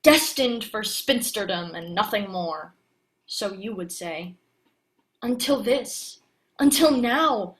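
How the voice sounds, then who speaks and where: A woman's voice: medium pitch, lively intonation, slow pace, low-quality recording. An actress playing a passionate, guarded young woman who secretly lives disguised as a male actor, in a theatrical audio play monologue. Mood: elated.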